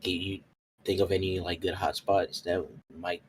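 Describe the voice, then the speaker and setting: Low voiced guy playing an rpg, chatty n polite, bit tentative, faint bg noise. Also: flat delivery, normal speed, low-quality recording. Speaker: tabletop roleplay player. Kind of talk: casual in-character dialogue.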